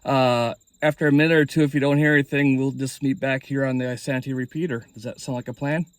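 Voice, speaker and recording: A man's voice: medium pitch, fairly even intonation, fast, dry recording. Dry, close-sounding man, amateur radio host. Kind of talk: casual hobby vlog talk and radio calling.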